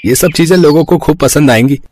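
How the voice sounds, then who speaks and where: A man's voice: a little high, fast, a little mellow, voice pushed up, close to an everyday voice. A man voicing an earnest, determined young village vegetable seller in a cartoon story, speaking in resolute declarations. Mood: eager, confident.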